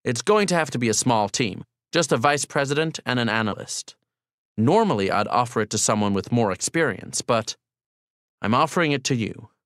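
A man acts out a brisk male boss in an audiobook story. He is informal and commanding, supportive in a blunt way, with a close, dry sound. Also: mid-range voice, expressive, fairly bright tone, no echo. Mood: blunt, encouraging.

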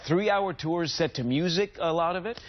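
Middle-aged man, travel expert on a TV segment. Enthusiastic, salesy, fairly high voice, slightly dull studio audio. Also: moderate pace. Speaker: travel editor, guest expert. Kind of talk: upbeat TV travel segment presentation.

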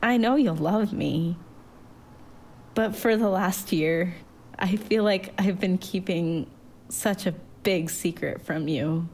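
A thoughtful, anxious growing girl in a storybook tale, voiced by a woman narrator. She is quiet and hesitant, feeling her way slowly through each sentence, over a faintly noisy recording. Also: a little high, sing-song.

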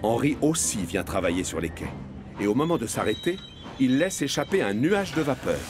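A man narrates a children's cartoon story in a medium-pitched voice. The delivery is scripted storytelling, with music clearly playing underneath. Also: very animated, normal speed.

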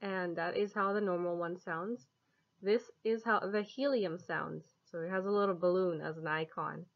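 A woman in her twenties talks casually to camera as she shows off an app, amused and giggly. Her voice sits a little low for a woman, and the sound is dull and lo-fi, like a webcam in a living room. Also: steady tone, moderate pace. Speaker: app reviewer. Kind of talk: casual vlog chat to camera. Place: small home living room, indoors.

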